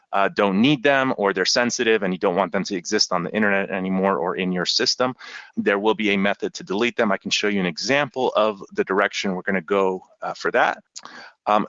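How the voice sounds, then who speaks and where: Male webinar host, low voice, loose delivery with many "uh"s, dry lo-fi sound. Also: dry recording. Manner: relaxed, with frequent "uh" fillers. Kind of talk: software training webinar.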